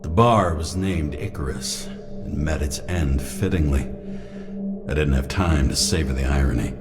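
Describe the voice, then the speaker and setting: A man's voice: extremely low pitch, unhurried, over loud music. An actor playing a hard-boiled, reckless male detective in a video game, with a world-weary, dry, sardonic delivery. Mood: brooding, sardonic. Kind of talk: noir monologue and dialogue in a video game.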